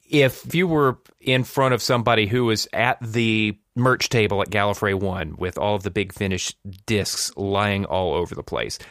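A man with a fairly low voice hosts a conversational podcast. The recording is dry, with very little room sound around him. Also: fairly bright tone, no room sound. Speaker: podcast host.

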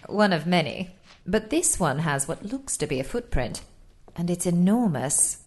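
A poised lady spy played by a woman in a radio play, arch and curious. Her voice sits low and moves with very animated intonation, always coolly clever. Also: unhurried. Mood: cool, observant. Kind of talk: scripted radio theatre acting.

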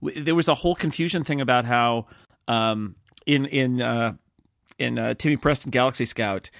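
A man hosting a podcast, chatting casually in a panel discussion and telling stories in an animated manner. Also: mid-range voice, moderate pace, creaky, no echo, studio quality. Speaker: podcast host and radio play director.

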